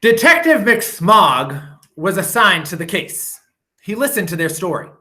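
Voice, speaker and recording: A man reading a storybook aloud for children, with theatrical emphasis and drawn-out words. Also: high-pitched, big pitch swings, bright, forceful tone.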